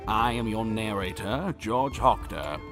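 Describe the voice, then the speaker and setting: A man's voice: fairly low pitch, lively intonation, measured pace, vocal fry. A man narrating scripted credits for a fantasy audio play in a formal, theatrical announcer manner. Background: music.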